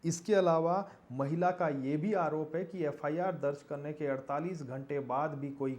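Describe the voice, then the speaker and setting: A man's voice: on the high side, fairly even intonation, moderate pace, darkish tone. Man presenting news commentary. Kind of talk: news commentary addressed to viewers.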